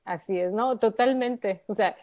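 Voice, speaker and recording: A woman's voice: mid-range voice, unhurried, darkish tone. A woman hosting a live radio health interview, asking questions and backing up her guest with frequent affirmations. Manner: supportive, with frequent backchannel affirmations. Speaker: radio host.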